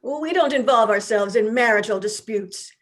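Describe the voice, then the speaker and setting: A woman's voice: a little high, normal speed, lightly acted. A woman voicing two polite male police officers, speaking curtly. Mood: brisk.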